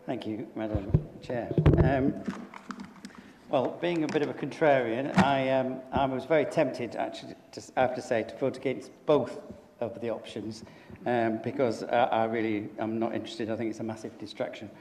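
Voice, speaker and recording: A man's voice: medium pitch, fairly even intonation, normal speed, darkish tone, a little hiss or hum. An older man, a councillor speaking in a council debate, blunt and full of hesitant fillers and restarts. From England. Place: council chamber. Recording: echoey chamber with long reverb.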